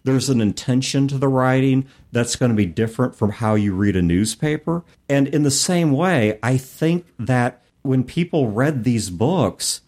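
A man's voice: mid-range voice, a little mellow. A man hosting a podcast discussion, explaining his points in a wordy, professorial way. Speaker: podcast host. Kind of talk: discussion of tabletop gaming.

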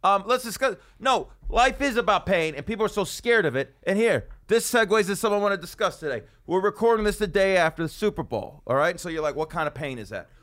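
A man's voice: sits high, animated, fast, hardly any pauses, a bit bright. A man hosting a podcast from a studio, joking and rambling sarcastically in casual comedic chat. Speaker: podcast host.

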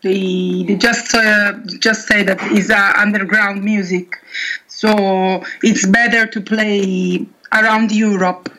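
Slow, bright-voiced Italian woman. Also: medium pitch, level tone, rough recording. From Italy. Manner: halting, many fillers and restarts. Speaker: metal band singer. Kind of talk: interview answers.

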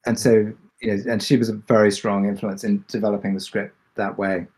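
A man speaking reflectively as a creator on a Q&A panel, pausing and repeating words as he works through his thoughts. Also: fairly low pitch, flat delivery, darkish tone, slightly rough. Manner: thoughtful, halting, repeats words mid-sentence. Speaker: podcast creator and executive producer on a panel.

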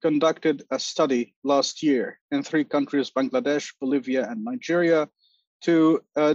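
A man in his forties from Lebanon, giving a measured, formal talk as a panel speaker. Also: mid-range voice, a little mellow, no echo, highs cut off. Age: 40s. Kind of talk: prepared talk on a video call.

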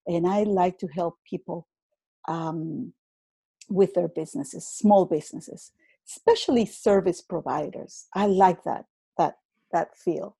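A woman with a Venezuelan Spanish accent, a native Spanish speaker, chatting as a guest business coach in a podcast interview. She speaks in a slow, reflective way, with many fillers and repeated words. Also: fairly low pitch, creaky, warm tone, slightly rough, dry recording. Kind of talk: casual interview conversation.

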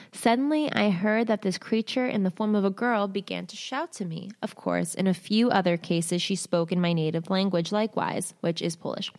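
A woman's voice: mid-range voice, darkish tone. Woman host reading a strange story. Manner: candid, uses swearing and slang; reads haltingly in short phrases. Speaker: host reading listener stories. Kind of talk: reading a submitted story aloud, then casual commentary.

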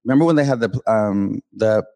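A man's voice: fairly low pitch, fairly quick, creaky, a little mellow, no room sound. A man as a talk show guest, chatty and sassy. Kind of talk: casual chat.

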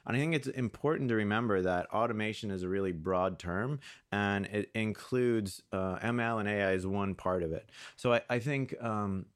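A man being interviewed, explaining things conversationally in a halting way and often voicing imagined dialogue. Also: lowish voice, dry recording, clean studio sound. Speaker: interview guest, product manager.

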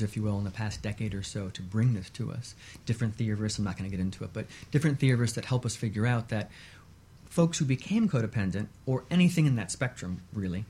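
A man speaking as an expert guest on a radio talk show, explaining in a measured, emphatic way and repeating points for stress. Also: medium pitch, a little mellow, a little hiss or hum.

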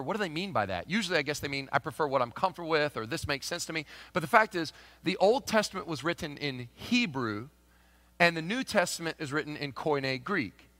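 Kentucky pastor with a bright, emphatic voice. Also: male voice, a little high. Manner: swings from loud emphatic proclamation to soft conversational asides. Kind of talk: sermon. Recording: long hall reverb.

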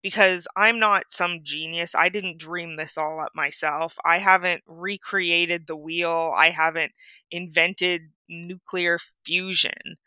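A woman's voice: on the low side, fairly even intonation, takes it slowly, bright, forceful tone, no echo. A woman who co-runs a coaching site, speaking on an interview in an earnest, self-deprecating way. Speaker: coaching site co-founder guest. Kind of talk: casual interview chat.

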